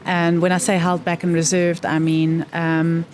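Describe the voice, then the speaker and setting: A woman from South Africa being interviewed, talking thoughtfully and pausing to find her words. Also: on the low side, completely flat delivery, a little mellow. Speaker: interview guest. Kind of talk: reflective conversation. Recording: faint background noise.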